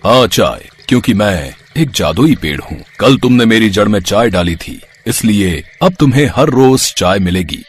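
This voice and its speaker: A man's voice: fairly low pitch, lively intonation, normal speed, few pauses, breathy edge. Man voicing generous magical talking tree. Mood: benevolent. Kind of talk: acted dialogue in a bedtime story.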